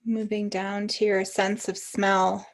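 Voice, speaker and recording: A woman leading a guided meditation as a teacher, giving gentle, encouraging instructions with pauses. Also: mid-range voice, flat delivery.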